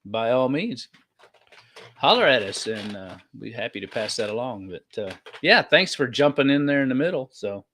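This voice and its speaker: A man's voice: medium pitch, sing-song, moderate pace, a bit bright, slight background noise. A man with a folksy, informal manner, chatting casually as a podcast co-host. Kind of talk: casual chat.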